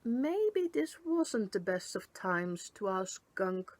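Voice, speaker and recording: Casting: female story reader, middle-pitched, expressive first-person narration, very dry close recording. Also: takes it slowly, dry recording.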